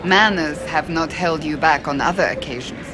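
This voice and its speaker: An actress playing an earnest, direct warrior seeker in a video game, serious and forthright. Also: female voice, low voice, normal speed, a crowd in the background, background noise, natural voice. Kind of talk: dramatic game dialogue.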